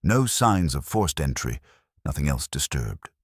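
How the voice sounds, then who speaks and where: Mayor done by a male narrator, low voice with jumpy intonation, anxious and over-precise, for storybook mystery. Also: very animated, unhurried, breathy edge, dry recording, studio quality, full character voice. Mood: flustered, guarded. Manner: nervous, over-rehearsed, precise. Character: nervous, fussily precise small-town male mayor.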